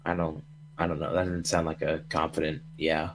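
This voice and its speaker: A man's voice: a bass voice, level tone, normal speed. A young man bantering casually on a live stream with friends. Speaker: voice actor on a stream.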